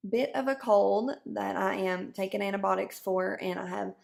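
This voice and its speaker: Hoarse woman doing a chatty beauty review. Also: mid-range voice, steady tone, normal speed. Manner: chatty, explains each step as she goes. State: hoarse from a cold. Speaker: beauty vlogger. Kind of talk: casual product review to camera.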